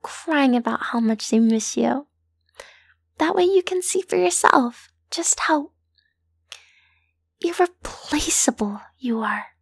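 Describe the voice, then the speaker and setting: A woman voicing a lovesick, possessive yandere kidnapper in an intimate roleplay audio, doting and coaxing in a breathy voice that often sinks into whispers. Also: sits high, no room sound, studio quality, full character voice. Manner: doting, coaxing, slow and lingering.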